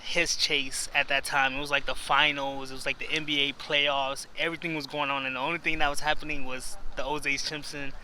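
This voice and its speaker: Man, twenties, from Miami, sharp bright voice, casual interviewee, faint street noise. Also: fairly high pitch, level tone, a little fast, hardly any pauses, poor audio. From Miami, United States. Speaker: interviewee, government worker. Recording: faint outdoor traffic noise.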